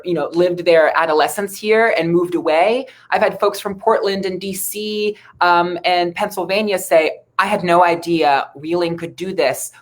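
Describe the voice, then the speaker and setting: A woman from Ohio with an American accent, answering interview questions in an earnest, measured, conversational way as a local politician. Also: on the low side. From Ohio, United States. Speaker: city council member, interview guest.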